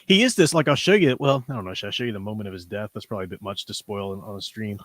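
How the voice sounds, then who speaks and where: A man's voice: fairly low pitch, very fast, no echo, clean studio sound. A man hosting a livestream, talking casually about comics in a rambling, hedging way. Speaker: livestream host.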